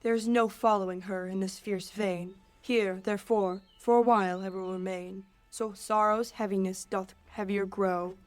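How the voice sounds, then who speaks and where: A lovesick Athenian youth acted by a female in her late teens in an amateur Shakespeare radio drama. The verse is earnest, at an average pitch. Faint music sits behind the voice. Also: fairly even intonation, moderate pace, few pauses, lightly acted. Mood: weary. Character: lovesick, rebuffed young Athenian man. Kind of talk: amateur 1940s-style radio play Shakespeare.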